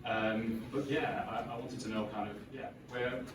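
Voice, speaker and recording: A man hosting a live interview on stage, asking his questions haltingly with many fillers. His voice sits a little low. He sounds far from the mic in a very echoey hall with loud background noise. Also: steady tone. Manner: hesitant, many fillers like "kind of". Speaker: host interviewer. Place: event hall with audience. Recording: very echoey, distant, noisy hall.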